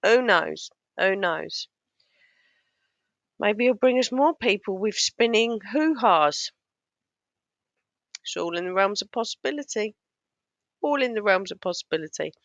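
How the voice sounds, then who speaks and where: A woman giving casual, scornful reaction commentary on a clip, a little hoarse as she loses her voice. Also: medium pitch, expressive, unhurried, long pauses, dry recording, lo-fi sound. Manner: scornful, sarcastic, mocking. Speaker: YouTube commentator.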